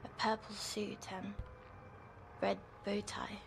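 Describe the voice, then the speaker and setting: A woman playing a timid, troubled young girl patient in an audio drama, weary yet defiant, with a halting way of speaking. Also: mid-range voice, flat delivery, vocal fry, noisy background. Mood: hushed, dreamy. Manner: slow, halting, hushed when recounting dreams.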